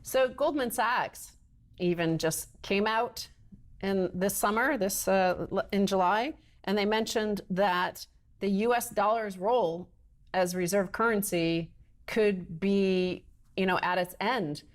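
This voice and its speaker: A woman co-hosting an interview show, asking questions in a measured way. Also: medium pitch. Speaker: co-host.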